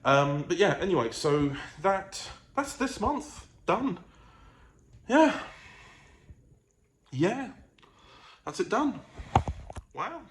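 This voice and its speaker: A man's voice: high voice, sing-song, drawn-out words, long pauses. A man chatting casually to a vlog, rambling hesitantly, correcting himself and trailing off. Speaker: vlogger. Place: living room of own apartment. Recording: echoey room with faint ambient noise.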